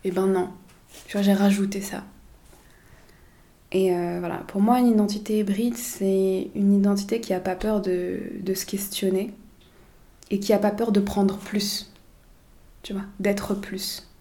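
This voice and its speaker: A woman's voice: medium pitch, level tone, unhurried, long pauses, dark tone. A woman from the Paris suburbs, giving earnest, reflective answers as an interview guest. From suburbs of Paris, France. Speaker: podcast guest, communications consultant.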